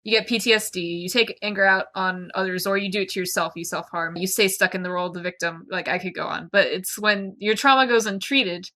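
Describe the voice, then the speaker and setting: A woman hosting a casual book-discussion podcast, animated and opinionated, peppering her talk with "like". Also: medium pitch, fast talker, few pauses. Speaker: podcast host.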